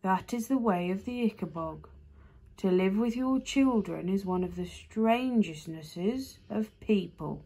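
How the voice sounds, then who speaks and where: A woman voicing an enormous, gentle creature that speaks slowly and solemnly in a deep voice, reading a storybook. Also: expressive, slightly rough, poor audio. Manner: slow, grave, deliberate.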